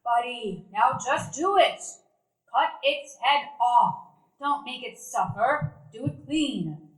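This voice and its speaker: A woman's voice: a little high, lively intonation, takes it slowly. A woman playing a stern no-nonsense sister. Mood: commanding. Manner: commanding, curt, scolding. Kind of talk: community theatre radio play acting. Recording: roomy event hall.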